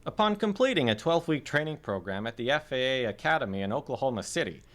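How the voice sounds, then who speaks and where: Male reporter reading scripted investigative narration, medium pitch, highly varied intonation. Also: big pitch swings, quick pace, fairly bright tone. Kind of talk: scripted news documentary narration.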